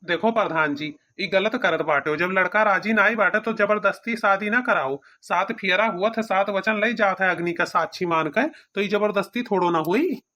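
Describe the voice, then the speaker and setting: A woman's voice: medium pitch, moderate pace, bright, forceful tone. A woman voicing a meek, pragmatic village priest with an Awadhi accent in a cartoon comedy. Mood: admonishing. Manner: pleading, advising.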